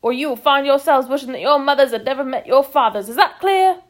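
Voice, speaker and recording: A woman voicing a gruff, working-class army corporal with a loud drill-sergeant manner and a cockney accent. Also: sits high, normal speed. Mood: bellowing. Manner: barking, clipped, working-class.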